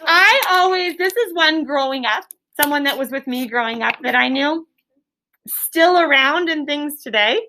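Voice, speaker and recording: A woman leading a classroom discussion as a teacher, in an animated, encouraging, prompting manner. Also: sits high, normal speed, slight background noise, rough recording.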